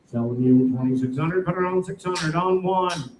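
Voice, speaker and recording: A man working as a livestock auctioneer, calling bids in a rapid rhythmic chant at a stockyard sale. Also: fairly high pitch, a little mellow, echoey room, slight background noise, low-quality recording.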